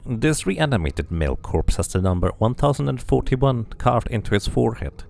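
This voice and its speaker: A man's voice: mid-range voice, expressive, moderate pace, vocal fry, a little mellow. A man streaming a video game, reading its text aloud like a narrator and muttering casual asides to himself.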